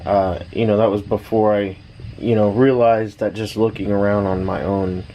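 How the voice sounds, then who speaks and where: An American man in his thirties, rambling casually and haltingly as he thinks aloud in a laid-back hobby vlog. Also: on the low side, little pitch movement, mellow, highs cut off. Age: 30s. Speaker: radio hobbyist, vlogger. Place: small backyard shed. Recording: noisy shed, fireworks in distance. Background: background noise, distant fireworks popping.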